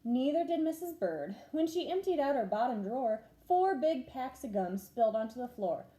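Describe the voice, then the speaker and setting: A woman teacher reading a children's storybook aloud in an expressive, clearly paced way, acting out the dialogue. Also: sits high, moderate pace, rough recording.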